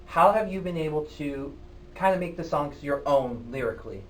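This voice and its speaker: A man's voice: on the high side, animated, moderate pace, fairly bright tone, distant mic, slight background noise, poor audio. A man hosting a live college radio show in the studio, interviewing a band in a playful, flustered, laughing way.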